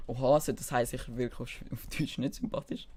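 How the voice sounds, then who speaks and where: A young man with a Swiss German accent, chatting casually on a gaming livestream and mixing in English gamer slang, with a medium-pitched voice. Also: fairly even intonation, a little fast, dark tone, slight background noise. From German-speaking Switzerland. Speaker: live streamer. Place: indoors at a computer, keyboard typing behind.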